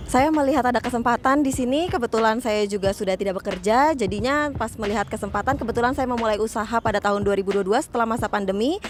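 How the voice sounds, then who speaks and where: A woman from South Jakarta who sells cakes, speaking in a brisk, matter-of-fact way in an interview. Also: a little high, background noise. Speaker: cake seller. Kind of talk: interview to camera.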